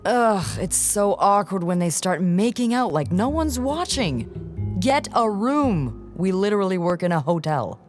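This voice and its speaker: A woman's voice: mid-range voice, big pitch swings, a little slow, faint music behind. A woman playing a teasing, sardonic young hotel receptionist in a video game cutscene. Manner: playful sarcasm.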